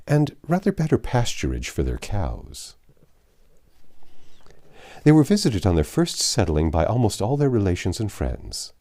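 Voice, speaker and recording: A man reading a classic novel aloud in a measured, expressive way, with the odd slip and self-correction. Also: lowish voice. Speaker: audiobook narrator.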